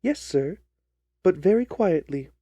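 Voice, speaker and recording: A man's voice: high voice, lively intonation, a little slow, dark tone, no echo. A man voicing an earnest, trusting, distressed young jilted bride in a dramatised story reading. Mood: earnest, recounting. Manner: earnest, wordy, pleading.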